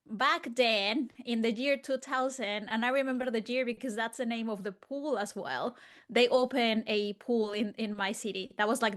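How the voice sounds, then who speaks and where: A woman's voice: fairly high pitch, fairly bright tone. Chatty woman. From Mexico. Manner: chatty and enthusiastic, with laughs and restarts. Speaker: guest sports nutritionist. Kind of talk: casual interview answers.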